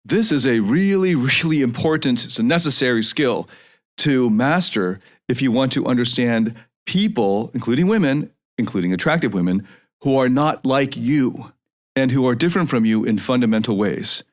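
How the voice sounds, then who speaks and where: A man's voice: mid-range voice, animated, normal speed. Earnest man in his forties lecturing. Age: 40s. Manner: earnest, lecturing, shifts pace often. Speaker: podcast host. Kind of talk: solo podcast monologue.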